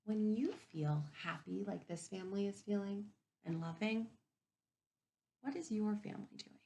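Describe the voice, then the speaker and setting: A woman reading a picture book aloud to young children as a teacher, expressively, pausing to wonder aloud and ask them questions. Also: mid-range voice, creaky, slight background noise. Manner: expressive, wondering aloud, inviting listeners to reflect.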